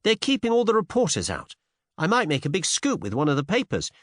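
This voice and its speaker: Man voicing a pert, high-pitched young woman. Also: wildly swooping pitch, fairly quick, no room sound, clean studio sound, exaggerated voice. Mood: coaxing. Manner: pert, teasing, emphatic. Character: cheeky, bold young woman, brash and morbidly curious. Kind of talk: audiobook character dialogue with period slang.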